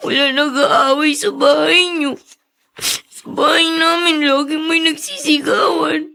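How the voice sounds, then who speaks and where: Brief: wounded Filipino runaway boy, childlike, high, slow and plaintive, melodrama. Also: steady tone, creaky, bright, forceful tone, exaggerated voice. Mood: sad, tearful. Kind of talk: Filipino radio-style drama acting.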